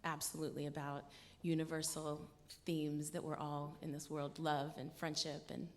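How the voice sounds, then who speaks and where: Low-pitched, expressive woman in a reverberant hall. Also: moderate pace. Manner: earnest, expressive, conversational with frequent "you know". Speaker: musician, interview guest. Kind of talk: informal interview talk. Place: stage of a live radio show. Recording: live hall with long reverb.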